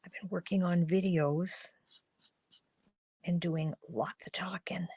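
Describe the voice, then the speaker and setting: Woman host of a live craft stream, slightly hoarse, slow and chatty, greets viewers as she works. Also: lowish voice, fairly even intonation, dark tone, dry recording, clean studio sound. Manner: chatty, thinks aloud while coloring, greets viewers by name. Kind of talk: casual live crafting demo talking to viewers.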